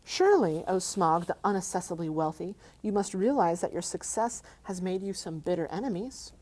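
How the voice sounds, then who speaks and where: A woman voicing a small, nervous male hobbit who speaks in a frightened, high squeak and flatters. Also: normal speed. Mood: bold.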